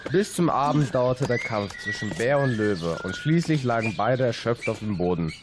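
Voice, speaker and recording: A man reading a scripted fable as a radio narrator, with clear noise behind him. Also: mid-range voice, expressive, moderate pace. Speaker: radio host and story narrator.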